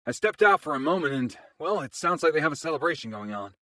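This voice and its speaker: An actor playing an easygoing, wry adventurer with a husky voice in an audio fantasy drama. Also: medium pitch, animated, fast, a bit bright, no echo, studio quality, natural voice. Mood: casual, reasoning. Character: teasing, easygoing armored adventurer.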